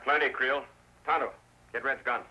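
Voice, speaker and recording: An actor playing a gruff, righteous small-town sheriff who talks bluntly, in a vintage radio western. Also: male voice, medium pitch, fairly even intonation, moderate pace, sharp tone, a little hiss or hum, rough recording. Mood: stern, accusing. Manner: blunt, plain-spoken. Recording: old radio broadcast recording.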